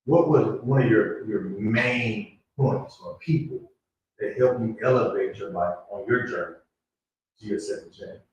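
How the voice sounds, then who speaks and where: A man with a slightly low voice moderates a live panel, asking guests questions. He is recorded from a distance in a heavily echoing room, with faint noise behind him. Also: unhurried, darkish tone, lo-fi sound. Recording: echoey room, distant mic, background noise.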